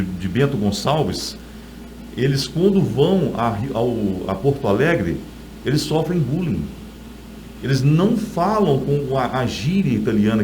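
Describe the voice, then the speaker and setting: A man's voice: mid-range voice, dark tone, slight background noise, rough recording. A middle-aged man with a Brazilian accent, an author telling stories in a conversational interview.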